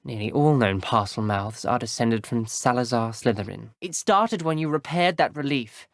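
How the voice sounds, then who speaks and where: Casting: adult male actor as teenage wizard student for a fantasy game. Earnest, troubled, articulate, measured delivery, mid-pitched, dry studio sound. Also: no echo, close to an everyday voice. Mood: wary. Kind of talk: video game character dialogue.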